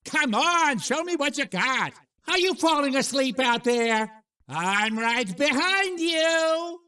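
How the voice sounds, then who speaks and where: An actor voicing a cocky, taunting shark-like alien racer in a cartoon video game, boastful and exclamatory. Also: male voice, extremely high pitch, animated, slow pace, bright, forceful tone, distant mic, heavily acted. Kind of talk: video game character barks.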